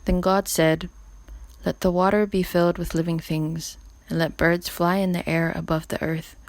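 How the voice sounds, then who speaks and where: Lower-pitched woman reading scripture evenly. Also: little pitch movement, moderate pace, no room sound. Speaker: volunteer scripture reader.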